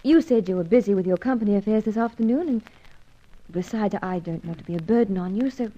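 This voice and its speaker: A mellow female voice of an actress plays a young English woman in an old radio drama. She is polite, coy and apologetic, hesitating often. The recording sounds dull and muffled. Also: medium pitch, fast, natural voice. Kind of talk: old-time radio adventure serial acting.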